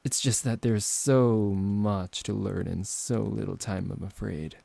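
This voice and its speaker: A man acting out a smooth-talking, possessive vampire professor in an intimate ASMR roleplay, politely condescending and teasing, close to the microphone. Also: fairly low pitch, fairly even intonation, measured pace, warm tone, dry recording, full character voice. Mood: teasing, friendly. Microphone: close microphone, dry.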